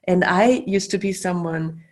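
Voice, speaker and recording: Chatty woman, lowish voice. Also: a little slow. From Austria. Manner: loose and chatty, many fillers and restarts. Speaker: facilitator. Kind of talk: casual teaching talk. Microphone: video call.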